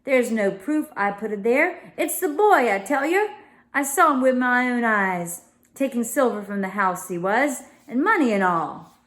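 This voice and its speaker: A woman voicing a surly male thief in a children's storybook, sharp, sneering and defiant. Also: fairly high pitch, expressive, measured pace, pitched above the performer's own voice. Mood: sharp, defensive.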